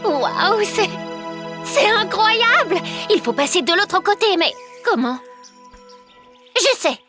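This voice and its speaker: A woman's voice: very high voice, takes it slowly, heavily acted. A woman voicing a cheerful, curious young heroine in a French cartoon dub, full of exclamations. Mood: excited. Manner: bright, excitable, full of exclamations. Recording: studio dub over loud music.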